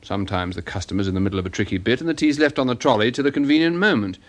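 A man acting a shrewd English private detective in a radio play. His voice sits low and he speaks with measured authority, laying out his reasoning with composure. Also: lively intonation, lightly acted. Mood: calm, reasoning. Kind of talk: British radio drama acting.

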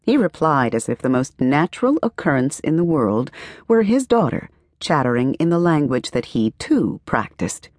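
A woman narrating a literary novel as an audiobook, with measured, expressive storytelling and careful phrasing. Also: sits low, no room sound, clean studio sound. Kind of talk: scripted literary fiction narration.

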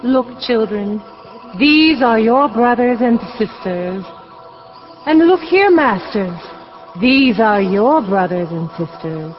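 An actress playing an austere, saintly young woman, speaking with gentle solemnity in a radio drama. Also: fairly high pitch, lively intonation. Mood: solemn appeal. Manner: slow, solemn, gentle proclaiming. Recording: muffled lo-fi audio with faint music.

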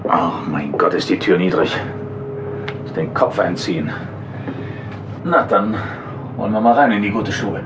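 A man's voice: low voice, expressive, unhurried, pauses often, very noisy. An actor playing a cool, unflappable male police detective in a German audio drama, speaking with dry irony and composure. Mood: calm, wry. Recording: music under the dialogue.

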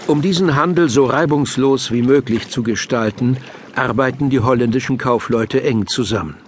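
A man narrating a scripted children's audiobook in a measured, explanatory way. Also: mid-range voice, a little hiss or hum.

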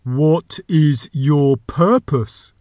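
A man voicing a talking magic door from a storybook, a gruff, self-important gatekeeper with a booming gravelly voice. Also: drawn-out words, a little mellow, no room sound, clean studio sound. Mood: stern, commanding. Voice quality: deep, gravelly.

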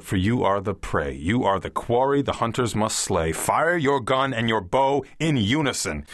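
A man's voice: mid-range voice, fairly bright tone, dry recording, studio quality. A man playing a combative, embittered divorced publisher, declaiming verse to rally readers in an audiobook. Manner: declamatory, exhortative. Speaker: audiobook narrator. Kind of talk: dramatic verse recitation.

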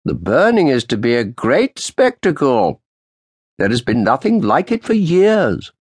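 A sharp, stern old man past sixty, as a male narrator puts him on, sitting well above the reader's own register. He speaks in clipped, sardonic phrases with a lively rise and fall, in a close, dry recording. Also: mid-range voice, a little slow, slightly rough, no room sound, voice pushed up, heavily acted. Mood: grave.

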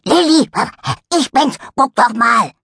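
A woman's voice: a little high, takes it slowly, creaky, fairly bright tone, breathy edge, no room sound, clean studio sound, voice pushed up, exaggerated voice. A woman voicing a small, affectionate, overexcited male dog in a children's story, eager and breathless. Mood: excited, pleading. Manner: breathless, eager, pleading, yappy.

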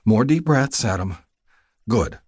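Man voicing a caring, firm nurse, raised pitch. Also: lively intonation, slow, a little mellow, husky, dry recording, studio quality. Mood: gentle, soothing. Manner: soothing yet scolding. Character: female nurse, caring, firm, gently bossy.

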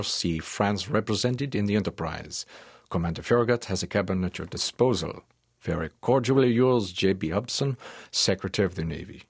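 A man's voice: low voice, slightly rough, no echo, clean studio sound. A man playing an eager French professor who narrates his sea adventure in a formal, theatrical audiobook drama style. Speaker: narrator and lead actor.

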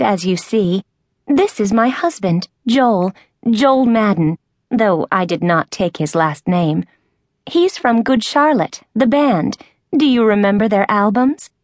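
A woman's voice: a little high, no room sound, clean studio sound, voice pushed up. A woman voicing a gushing, chattering short woman meeting her childhood friend, in a storybook reading. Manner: effusive, chattering.

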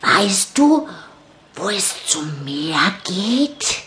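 A performer voicing an excitable young wild boar in a children's storybook, with exaggerated, drawn-out delivery. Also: medium pitch, slight background noise, exaggerated voice. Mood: relieved. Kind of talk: children's storybook character acting.

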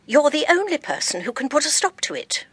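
An actress playing a forthright, eccentric English vicar's wife in an audio drama, emphatic, blunt and matter-of-fact. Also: female voice, sits high, very animated, fairly quick, fairly bright tone. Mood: urgent, insistent.

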